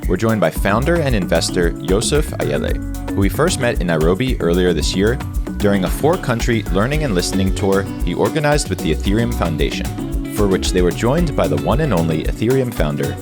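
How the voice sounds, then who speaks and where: A man hosting a podcast, reading a scripted intro and sponsor message in a lively, enunciated way. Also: sits low. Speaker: podcast host.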